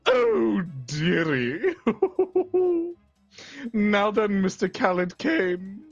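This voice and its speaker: Villain barber voiced by a performer: cackling and pompous, husky voice, unhurried delivery. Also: medium pitch, sing-song, no echo, dull audio, soft background music, full character voice. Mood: gleeful menacing. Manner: archaic pompous speech, frequent cackles.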